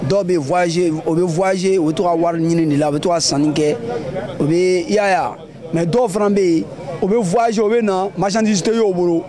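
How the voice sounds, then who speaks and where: A man's voice: high-pitched, fairly even intonation, brisk. A young man answering a street interview at an outdoor market, emphatic and repeating his points. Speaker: passerby interviewee. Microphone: handheld mic held by interviewer. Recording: crowd noise of a busy market.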